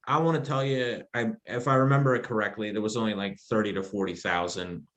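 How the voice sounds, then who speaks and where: A man guest on a podcast, telling his story in a casual, animated way, laughing often and restarting his sentences. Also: on the low side, fast, highs cut off. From Afghanistan, raised in the United States.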